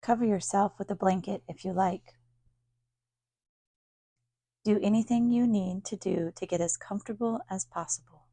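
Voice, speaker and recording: A woman leading a guided yoga nidra meditation as a teacher, speaking in a calm, soothing, unhurried manner with long pauses. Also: medium pitch, no echo.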